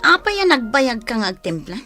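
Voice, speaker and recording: An actress playing a bossy, spiteful office bully in an Ilocano radio drama, sharp and demanding. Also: female voice, on the high side, very animated, brisk, soft background music. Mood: scornful, demanding.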